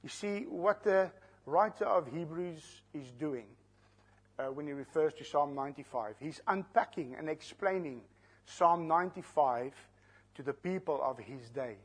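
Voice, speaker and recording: A man, an Afrikaans speaker, teaching a sermon verse by verse, slowing down to stress key words and putting questions to the congregation. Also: on the high side, slightly rough. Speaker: preacher. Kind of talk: expository Bible teaching.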